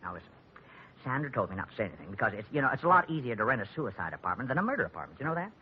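Casting: a comically gossipy married man in vintage radio drama, quick, husky, rambling. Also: mid-range voice, sing-song. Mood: confiding. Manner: rambling, chatty, confiding. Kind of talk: 1940s radio acting. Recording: dull vintage radio recording.

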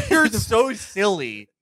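A man's voice: sits high, huge pitch swings, very slow, a bit bright, dry recording. A man co-hosting a podcast with casual, sweary, sardonic comedic banter. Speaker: podcast host.

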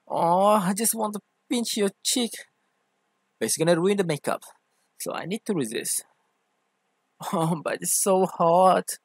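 A man voicing a playful, doting, easily jealous femboy boyfriend in an ASMR roleplay, with a teasing, expressive delivery. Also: high voice, slightly rough, no room sound, studio quality, full character voice. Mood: gushing. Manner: teasing, gushing, expressive, drawn-out emphasis. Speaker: ASMR voice actor.

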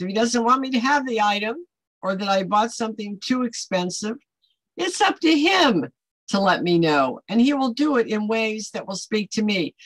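A woman's voice: medium pitch, no room sound, low-quality recording. An older American woman hosting a Bible study, teaching informally to camera in an emphatic, conversational manner. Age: older, 60s or above. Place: small home office, indoors.

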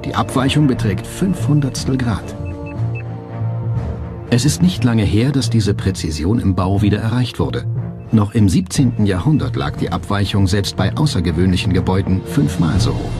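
Low, lively male documentary narrator over music. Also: normal speed. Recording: music bed under the voice. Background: background music.

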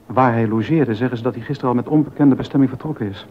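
A man's voice: mid-range voice, racing, lightly acted. Man acting a worldly confidant, slightly muffled recording. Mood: brisk. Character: baroque musician's worldly, sympathetic confidant, gossipy. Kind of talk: Dutch docudrama dialogue. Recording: dull sound with background noise.

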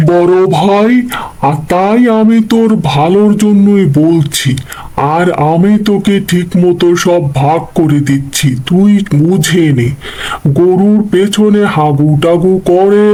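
A man's voice: high-pitched, warm tone, poor audio. A man playing a greedy, scheming elder brother in a cartoon dub, with a sugary, persuasive, theatrical manner.

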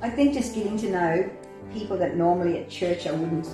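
An older woman giving a thoughtful, deliberate testimony. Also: lowish voice. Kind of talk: personal testimony. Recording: very echoey room. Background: background music.

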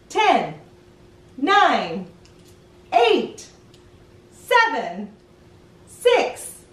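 A middle-aged woman hosting a children's read-aloud, playfully leading young listeners through counting and asking them questions in an animated sing-song way. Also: sits high. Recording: roomy echo, distant mic, music behind.

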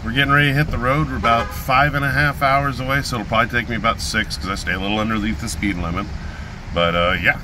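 A man in his forties vlogging a road trip, talking casually and upbeat into a handheld camera, outdoors and in his car. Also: medium pitch. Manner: relaxed, rambling, upbeat. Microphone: phone or action camera at arm's length. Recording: faint outdoor and traffic noise.

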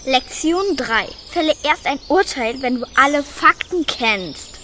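A woman's voice: sits high, expressive, unhurried, pushed and bright, slight background noise, full character voice. A woman playing Doctor Flint, a pompous know-it-all professor in a children's radio play, lecturing self-importantly.

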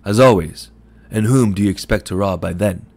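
A man's voice: deep voice, steady tone, moderate pace, lightly acted. A man voicing a scornful older village shopkeeper who scolds and sneers at a customer. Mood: sneering.

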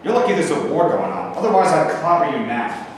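Actor playing a brash GI in an echoey hall. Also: male voice, a little high, expressive, a little fast, natural voice. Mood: angry. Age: young adult. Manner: streetwise, slangy, blunt. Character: brash, irritable young American GI, a Dodgers fan. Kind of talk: staged script reading. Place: bare rehearsal room, camera at a distance. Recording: echoey hall from far off, faint noise.

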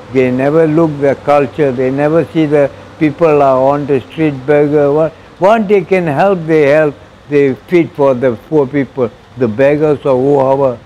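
A man's voice: mid-range voice, mellow, slight background noise, poor audio. A man in his sixties with a Malaysian English accent, giving an earnest personal testimony in broken, repetitive English on a lapel mic in an outdoor mosque walkway. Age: 60s. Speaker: interviewee.

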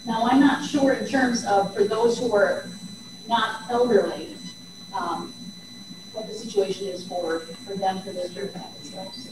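A woman's voice: mid-range voice, a little slow. A woman panelist adding information at a community forum, tentative and qualifying her words. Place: large community hall. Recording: echoey hall, distant with hum.

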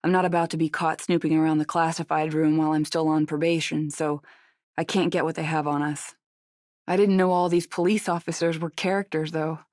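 A woman's voice: low-pitched, little pitch movement, a little fast, darkish tone, no echo, clean studio sound, lightly acted. An actress playing a tough, guarded young female agent in a horror video game, with a terse, sardonic manner. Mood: subdued, wry.

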